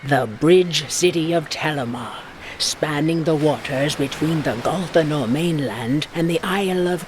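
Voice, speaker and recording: A fantasy game narrator delivers scripted lore at a slow, deliberate pace. The voice sits at a middling pitch and tells it with drama. Noticeable noise and ambient game sound run behind the narration.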